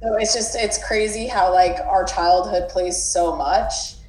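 A woman's voice: medium pitch, measured pace, darkish tone, roomy sound, rough recording. A woman in her mid-twenties, from the United States, talking candidly in a casual chat, swearing freely and filling her talk with "like". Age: 20s.

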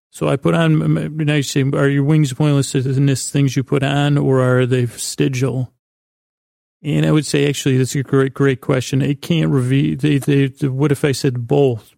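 A man with a dark, mellow, heavily creaky voice hosts a bedtime show in soothing tones. He rambles haltingly, doubling back and stammering through whimsical digressions. The sound is close and dry, with little movement in pitch. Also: no room sound. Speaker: host of a bedtime podcast. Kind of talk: rambling sleep-aid monologue, bedtime story intro.

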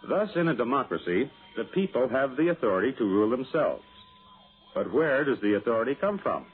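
A man's voice: mid-range voice, very animated, brisk, slightly rough. A man reading a scripted public-service piece as a radio announcer, formal, didactic and declamatory. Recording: muffled vintage radio broadcast with music.

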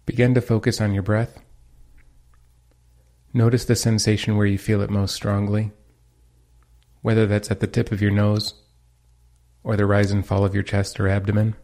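A man leading a guided meditation, giving calm, gentle instructions with pauses. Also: fairly low pitch.

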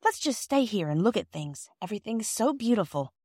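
An earnest young storybook girl voiced by a woman narrator, pitched well up. She is kindly, polite and eager, heard in a dry, close recording. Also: big pitch swings, a little fast, husky, dry recording. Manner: polite, sincere, bright and eager.

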